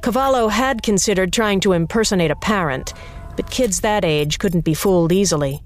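A woman narrating an audiobook in an even, measured storytelling manner. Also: medium pitch.

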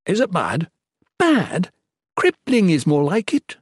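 A man's voice: medium pitch, sing-song, brisk, breathy edge, no echo, studio quality, voice pushed up. A man voicing a fussy, exasperated young acolyte with prim, educated, scolding speech in an audiobook. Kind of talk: audiobook character voice.

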